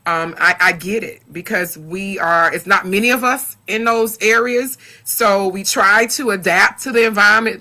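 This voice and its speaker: Deep-voiced woman, earnest and bright. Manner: earnest, repeats words while finding her point. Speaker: podcast panelist. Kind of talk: casual discussion.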